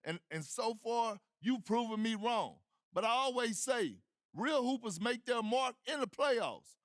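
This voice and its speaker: Sports TV interviewer, high voice, blunt, challenging, emphatic, video game cutscene, dry sound. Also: male voice, no echo. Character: challenging sports TV pundit interviewing a rookie player.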